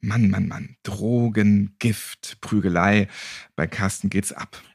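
A man's voice: fairly low pitch, steady tone, slow, creaky, darkish tone, husky, no echo. Flippant male podcast host, dry close sound. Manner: flippant, colloquial. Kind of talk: casual, joking podcast hosting.

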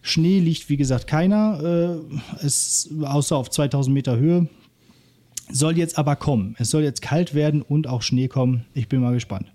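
A man's voice: on the high side, moderate pace, mellow. A man co-hosting a podcast, chatting casually and rambling with many fillers and restarts. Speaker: podcast co-host. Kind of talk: casual chat. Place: holiday flat.

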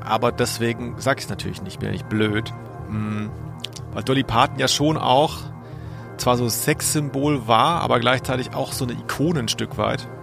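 A man's voice: mid-range voice, normal speed, creaky, music in the background. A man co-hosting a podcast in casual chat, thinking aloud in a hesitant, self-deprecating way. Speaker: podcast co-host, quiz contestant.

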